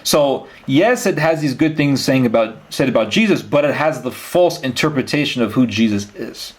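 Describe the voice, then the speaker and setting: A man interviewing someone in a conversational way, explaining his views and asking questions earnestly in halting phrases with repeated words. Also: mid-range voice, lively intonation.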